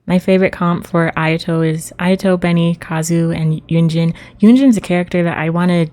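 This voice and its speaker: Mellow, lower-pitched woman chatting on stream. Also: steady tone, normal speed. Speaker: game livestream host. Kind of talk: casual chat with live viewers while playing.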